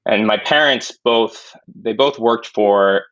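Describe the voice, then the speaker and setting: A man's voice: fairly low pitch, slow pace, a bit bright, dry recording. A young man born in Spain and raised in New York, a podcast guest talking casually and thoughtfully, with many restarts and fillers, on a dull, lo-fi recording. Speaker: podcast guest, climate investor. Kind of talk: casual interview talk. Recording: dull dry lo-fi sound.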